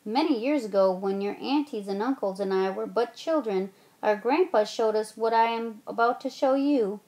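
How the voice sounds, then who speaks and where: A wise old grandmother spins her tale in a measured, old-time way, her voice lifted and full, put on by a woman reading a storybook. She takes her time with each line. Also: fairly high pitch, warm tone, natural voice, poor audio. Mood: storytelling.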